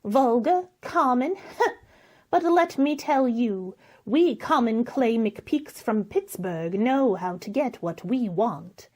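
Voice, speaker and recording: A woman playing a brash, common, menacing woman in a radio play, speaking with a deliberate sneer. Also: on the high side, animated, a little mellow. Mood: sneering, threatening.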